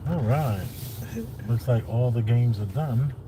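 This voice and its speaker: A man's voice: medium pitch, takes it slowly. A man, the host, chatting casually while driving, joking and emphatic about what he likes. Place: in a car. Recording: loud road noise from car. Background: loud traffic and car noise.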